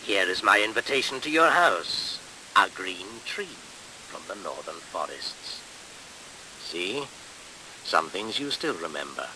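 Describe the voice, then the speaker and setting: An actor playing an ancient, solemn and menacing midwinter shaman spirit in a radio play, speaking in incantatory, breathy half-whispers with dramatic pauses. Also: low voice. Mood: reverent, incantatory. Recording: hissy 1970s radio recording.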